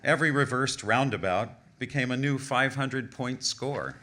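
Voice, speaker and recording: Bright-voiced man reading a wry essay aloud. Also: medium pitch, a little hiss or hum. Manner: wry, measured, literary delivery with deliberate emphasis. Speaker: author reading a personal essay at a live event. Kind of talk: scripted storytelling, humorous travel essay read aloud. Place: live reading on stage at an indoor venue.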